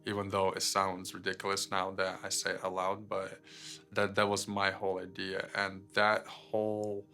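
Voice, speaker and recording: A young man from Ukraine telling his life testimony unscripted, halting with many fillers and repeated words. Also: sits low, monotone, bright, forceful tone. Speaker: guest sharing his testimony. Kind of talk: personal testimony, unscripted storytelling.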